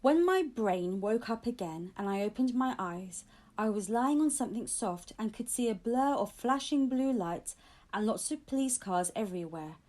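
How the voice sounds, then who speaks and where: Female audiobook reader for children's fiction; high, animated delivery, expressive first-person storytelling. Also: moderate pace.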